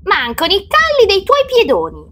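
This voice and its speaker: Woman doing a very high, whiny little boy. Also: huge pitch swings, normal speed, background noise, exaggerated voice. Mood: sly. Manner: whiny, exclamatory. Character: defiant, cheeky little boy, whiny and sly.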